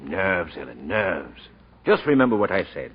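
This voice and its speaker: An actor in a 1940s radio drama playing a gruff, blunt, scolding family doctor. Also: male voice, deep voice, very animated, moderate pace. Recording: muffled, faintly noisy 1940s radio.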